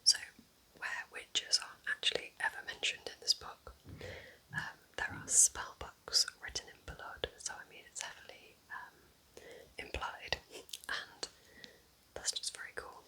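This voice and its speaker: Whispering woman, soft ASMR book chat. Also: rough recording. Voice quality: soft, breathy, unvoiced whisper. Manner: gentle, unhurried, intimate. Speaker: ASMR creator recommending books.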